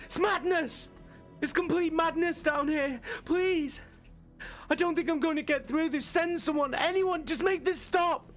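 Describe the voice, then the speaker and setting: Guy putting on a panicked screaming woman officer, shrill and breathless, faint music under it. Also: unhurried, fairly bright tone. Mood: desperate. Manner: frantic, shrieking, breathless pleading. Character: terrified woman officer pleading amid massacre.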